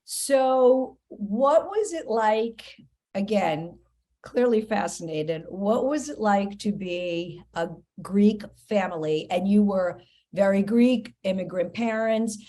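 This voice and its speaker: A woman's voice: mid-range voice, animated, slow pace, dark tone. A woman hosting an interview, asking long questions full of fillers and asides. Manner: rambling questions, frequent fillers. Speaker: interview host. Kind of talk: casual interview chat.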